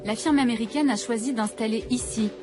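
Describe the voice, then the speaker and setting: A woman's voice: a little high, fairly quick, over music. A woman from France, a journalist, reading scripted first-person narration for a documentary.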